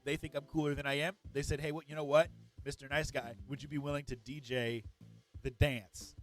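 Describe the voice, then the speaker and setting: A man's voice: on the high side, little pitch movement, brisk, soft background music. Upbeat man telling stories. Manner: enthusiastic storyteller, upbeat and joking. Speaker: podcast co-host and comedian. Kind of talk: casual podcast chat.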